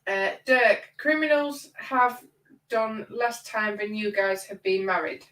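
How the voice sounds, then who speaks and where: A woman's voice: medium pitch, slow pace, fairly bright tone, recorded from a distance. Woman co-host, bunged up with a cold, chatty, roomy echoey sound, set back from the mic. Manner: chatty, addresses viewers by name. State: congested from a cold. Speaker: livestream co-host. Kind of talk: casual chat, reading out viewer comments.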